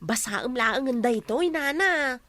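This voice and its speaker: A high, bright-voiced village busybody chatters eagerly, full of exclamations. It is radio comedy, recorded very dry. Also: female voice, animated, slow, dry recording, exaggerated voice. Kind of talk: comedy radio drama acting.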